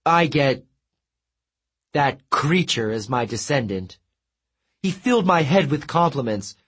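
A preening, melodramatic sun god who wails over his troubles and praises himself in a lifted, showy voice, as a male narrator puts him on. The tone stays husky underneath, and the pitch swoops about. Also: animated, a little slow, a bit bright. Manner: wailing, theatrical and self-pitying.

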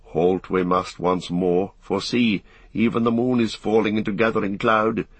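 Man playing a low, weary, resolute ranger. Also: fairly even intonation, slightly rough, no room sound. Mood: grim resolve. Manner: brooding, measured, archaic phrasing. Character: weary, grave male ranger leader, resolute and watchful.

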